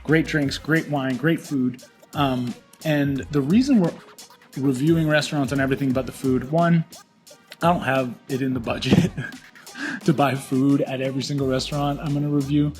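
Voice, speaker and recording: A man hosts a casual restaurant review show from a small restaurant, upbeat and promotional. His voice sits in a middle pitch. Faint music plays behind him. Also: steady tone, moderate pace, a little mellow. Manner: upbeat, promotional, repeats words for emphasis. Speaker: podcast host.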